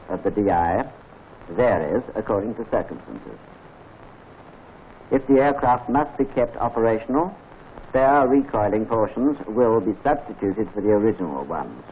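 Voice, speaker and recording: A British man giving measured, formal narration for a wartime training film. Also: lowish voice. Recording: tinny 1940s film soundtrack with faint hiss.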